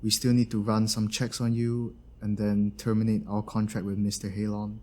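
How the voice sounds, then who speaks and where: A man's voice: on the low side, monotone, normal speed, warm tone, lightly acted. A man playing a kindly, protective engineer and inventor in an audio roleplay, talking in a casual, reassuring way.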